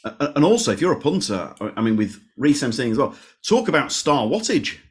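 A man's voice: mid-range voice, sing-song, fairly quick. A man hosting a podcast interview, asking questions in a playful, jokey way. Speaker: podcast host.

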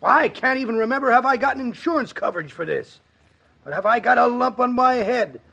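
A man playing a whiny, gravelly-voiced theatergoer with a sore head, complaining in exaggerated old radio comedy style. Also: very high voice, a little fast, bright, forceful tone, highs cut off, heavily acted. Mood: self-pitying. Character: whiny, aggrieved theatergoer nursing a bumped head. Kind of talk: 1940s-50s radio comedy acting.

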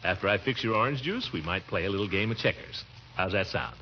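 An actor playing a fussy, affectionate middle-aged uncle in a theatrical, blustery 1940s radio comedy style. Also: male voice, low-pitched, lively intonation, quick pace. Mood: doting, reassuring. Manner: blustery, theatrical, with swooping exclamations and chuckles. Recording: muffled, noisy vintage radio recording.

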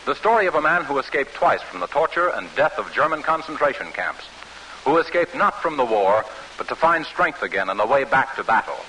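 Dramatic, animated 1940s radio announcer man. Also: medium pitch, brisk, slight background noise, low-quality recording. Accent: American. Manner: dramatic, formal, polished. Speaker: announcer and narrator. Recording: old radio recording, music behind.

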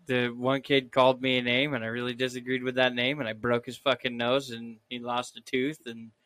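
A man's voice: mid-range voice, level tone, fairly quick, fairly bright tone. A man co-hosting a casual podcast chat, telling crude, swearing stories with a laugh. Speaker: podcast co-host.

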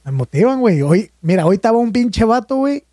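A man's voice: high-pitched, big pitch swings, fairly quick, dark tone, dry recording, clean studio sound. A young man with a Mexican accent rambling in casual podcast chat, slangy and swearing freely. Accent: Mexican Spanish. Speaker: podcast co-host.

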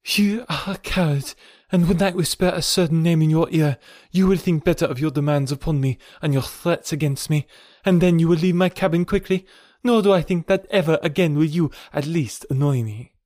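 A man's voice: high voice, normal speed, dry recording, studio quality, voice pushed up. A man putting on a proud, defiant young woman. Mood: cold defiant. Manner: cold and fearless. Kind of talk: audiobook reading.

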